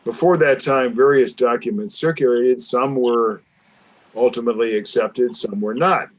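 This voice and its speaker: Male webinar host, formal and precise, lectures over a call, mid-pitched. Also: moderate pace, rough recording. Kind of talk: measured discussion on a video call. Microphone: video call.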